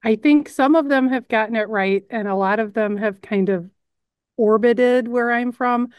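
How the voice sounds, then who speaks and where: A woman's voice: fairly high pitch, moderate pace, clean tone, dry recording, studio quality. A middle-aged woman from the Chicago suburbs with a Chicago accent, chatting on camera about her work as a news editor and narrator in a friendly, matter-of-fact way. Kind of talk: casual on-camera chat.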